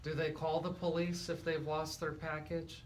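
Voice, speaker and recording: A man's voice: a little high, flat delivery, normal speed. A man hosting a radio talk show in a conversational interviewing style. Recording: faint background noise.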